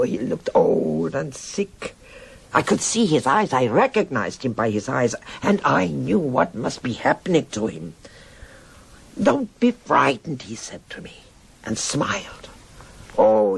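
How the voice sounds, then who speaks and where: A woman's voice: rumbling low, gravelly, husky, heavily acted, rough recording. An actress playing a worldly, nostalgic old Berlin woman in a radio drama, theatrical and frank as she reminisces. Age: older. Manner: theatrical, rambling reminiscence with sighs and interjections.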